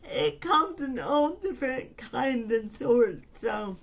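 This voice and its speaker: A woman co-hosting a podcast in casual chat, earnest and affectionate, her voice a bit raspy from talking. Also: a little high, lively intonation. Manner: slow, earnest and affectionate, with frequent fillers. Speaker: podcast co-host.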